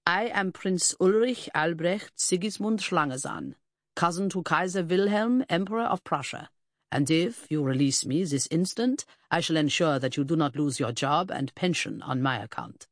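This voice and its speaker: A woman voicing a pompous Prussian prince in an audiobook story, cold, imperious and deliberate. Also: low voice, expressive, dry recording, studio quality.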